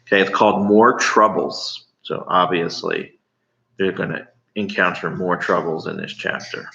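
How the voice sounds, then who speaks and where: Deep, gravelly American man in a roomy space. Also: fairly even intonation, unhurried, pushed and bright. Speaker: elementary school teacher. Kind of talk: informal read-aloud lesson for pupils. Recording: roomy, dull, faintly noisy home recording.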